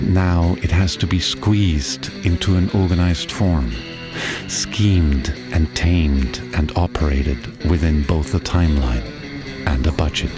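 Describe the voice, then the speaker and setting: Deep, slow man musing. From Austria. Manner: slow, measured, musing questions. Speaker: travel vlogger narrator. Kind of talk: reflective scripted voice-over narration. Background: guitar music.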